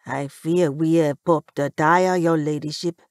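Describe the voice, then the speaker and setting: A woman's voice: sits low, steady tone, unhurried, darkish tone, no echo, studio quality, voice pushed down. A woman voicing a humble, nervous little male cab driver, deferential and grave, in a story. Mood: apologetic.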